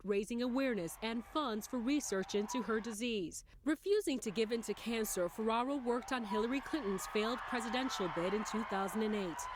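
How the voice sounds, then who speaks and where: American lady news anchor reading the stories, mid pitched voice, bit of background noise. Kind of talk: scripted news narration. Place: TV news studio.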